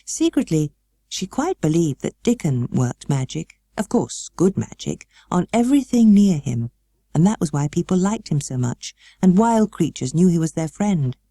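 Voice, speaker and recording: Mellow, expressive British woman storyteller. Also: on the low side, normal speed, no room sound, clean studio sound. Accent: British English. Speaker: audiobook narrator. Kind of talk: expressive scripted storybook narration.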